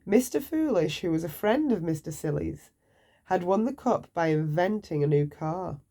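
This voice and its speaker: A woman narrating a children's bedtime story aloud, with deliberate, expressive phrasing for young listeners. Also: on the low side.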